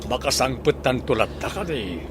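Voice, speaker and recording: A man's voice: medium pitch, expressive, normal speed, noisy background, close to an everyday voice. An actor with an Ilocano accent playing an aging, stubborn father in a radio drama, speaking in a firm and emphatic way. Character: aging stubborn father set on returning home.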